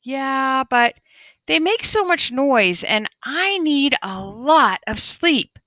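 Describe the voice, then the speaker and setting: A woman's voice: sits high, lively intonation, slow, pushed and bright, no room sound, pitched above the performer's own voice, exaggerated voice. A woman voicing a sleepy, easily vexed young female panda, sing-song and childlike. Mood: whiny.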